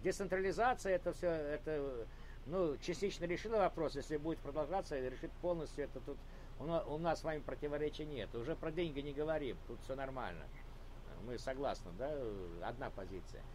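Man, patient, repeats himself, slightly high voice, faint background hum. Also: brisk, darkish tone, poor audio. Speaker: video chat host. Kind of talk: casual debate. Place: room at home.

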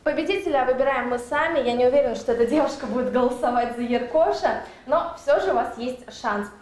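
A woman's voice: sits high, fast talker, darkish tone, echoey room. A young woman hosting a quiz in a studio, speaking in a playful, teasing way into a handheld mic. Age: young adult. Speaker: host. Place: club locker room studio.

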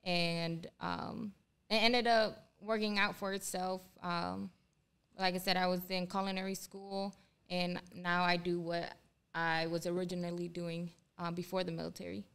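Young woman from Ohio, Spanish first language, flat even delivery, very clear voice, hesitant with fillers. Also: lowish voice, unhurried. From Ohio, USA. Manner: hesitant, many "um"s. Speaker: guest, army culinary instructor. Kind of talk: casual interview. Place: small studio room. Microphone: desk mic on boom, headphones.

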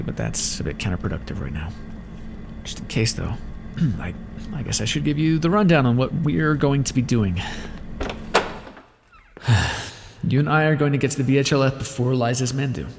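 A man performs a desperate, sardonic vampire scientist in an audio roleplay. His speech is formal and dramatic, swinging widely in pitch with a gravelly fry, inside a reverberant, noisy space. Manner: dramatic, formal wording, often dropping to a whisper. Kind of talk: ASMR roleplay voice acting. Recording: hall-like reverb with clear background noise.

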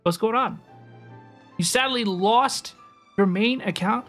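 A woman's voice: fairly high pitch, takes it slowly, a bit bright, soft background music. A woman streaming a game, chatting casually and slangily with viewers and often repeating her phrases. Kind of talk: casual livestream chat over gameplay.

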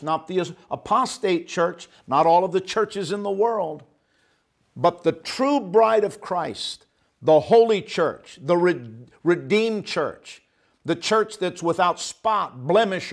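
A man in his fifties preaching a Bible teaching to camera, emphatic, stretching out key phrases for stress. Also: a little high. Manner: emphatic, gestures broadly, drawn-out stressed phrases. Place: indoor studio set with guitar on wall. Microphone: lapel mic, seated at desk facing camera.

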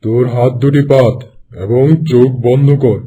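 A man's voice: mid-range voice, steady tone, normal speed, dark tone, husky, lo-fi sound, natural voice. A man playing a wise, benevolent holy hermit in a cartoon fairy tale, solemn and instructive. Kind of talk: Bengali animated fairy-tale acting.

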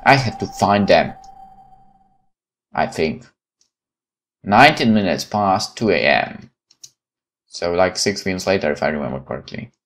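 Expressive man narrating a visual novel. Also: sits low, measured pace, pauses often. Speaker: gaming channel narrator. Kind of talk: reading a visual novel aloud with asides. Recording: music behind the voice.

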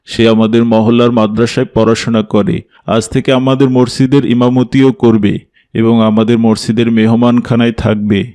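A man plays a proud, devout cartoon father of middle age. His voice is mid-pitched and keeps to a level, serious line, as befits a strict mosque elder. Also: little pitch movement, normal speed, warm tone, lightly acted. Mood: welcoming, formal. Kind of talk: cartoon drama dialogue.

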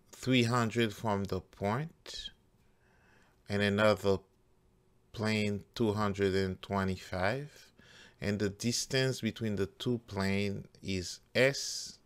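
A man working through a math problem step by step as a teacher, deliberate and halting phrase by phrase. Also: lowish voice, clear, resonant tone. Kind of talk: step-by-step worked-problem tutorial.

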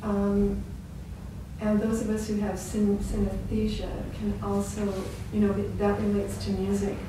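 A woman making an earnest comment from an audience in a halting, deliberate way with many pauses. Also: mid-range voice, flat delivery. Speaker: artist in the audience. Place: small hall, away from the mic. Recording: distant, echoey room with background noise.

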